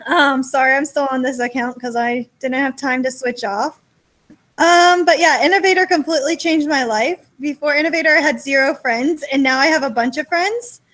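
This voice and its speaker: Woman on a call, high voice, bubbly and chatty. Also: moderate pace, fairly bright tone. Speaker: webinar panelist. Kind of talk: casual video call chat. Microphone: video call.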